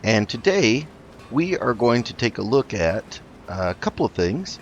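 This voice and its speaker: A man hosting a roleplay gaming video, talking in a measured, halting way as he plays and narrates. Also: medium pitch, sing-song, dull audio, a little hiss or hum. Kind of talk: roleplay let's play commentary.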